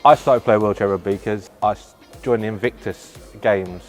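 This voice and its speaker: A man's voice: on the low side, moderate pace, faint music behind. A man speaking casually in an interview as an athlete. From Horncastle, Lincolnshire, England. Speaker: athlete, wheelchair rugby player.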